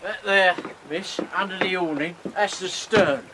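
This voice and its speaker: A man playing a rough riverboat captain. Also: high-pitched, very noisy. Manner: blunt, halting, slangy speech. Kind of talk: radio drama acting.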